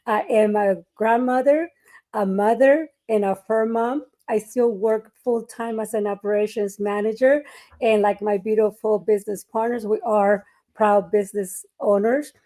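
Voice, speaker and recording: Mellow grandmotherly woman from Mexico, host on a video call. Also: mid-range voice, fairly even intonation, measured pace. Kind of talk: casual live chat.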